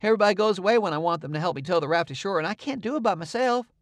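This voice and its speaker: A man's voice: sits high, animated, racing, no echo, studio quality, voice pushed up. A man voicing a frightened young boy, pleading and stammering. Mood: eager. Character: frightened young boy on a raft, quick-talking.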